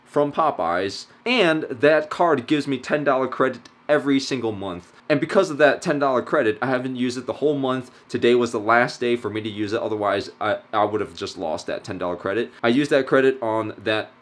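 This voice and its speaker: Excitable young man vlogging casually. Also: mid-range voice, fairly quick, few pauses. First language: not English. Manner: excitable, slangy, says "dude" and "freaking" a lot. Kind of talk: casual vlog to camera. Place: car, then kitchen, then living room, indoors.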